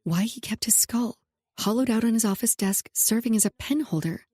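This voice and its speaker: A woman narrating a romance audiobook in first person, soft and emotional. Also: fairly high pitch, moderate pace, breathy edge, dry recording, clean studio sound.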